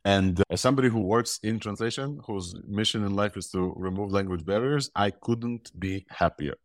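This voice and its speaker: Deep-voiced host with a mixed accent. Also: male voice, normal speed, no room sound, studio quality. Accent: mixed Russian and Israeli. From Ukraine. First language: Russian. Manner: enthusiastic, rambling with restarts. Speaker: podcast host. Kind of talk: casual tech news talk.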